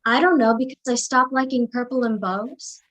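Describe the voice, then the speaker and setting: An actress playing a young college-bound daughter, wounded but resolute, in a staged play reading. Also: female voice, a little high, normal speed, fairly bright tone, natural voice. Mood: defensive.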